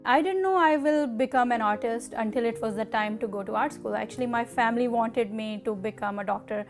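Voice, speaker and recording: A middle-aged woman with a Pakistani accent, telling her own story in an interview in a measured, deliberate way with pauses. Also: fairly high pitch, over music. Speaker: artist interviewee.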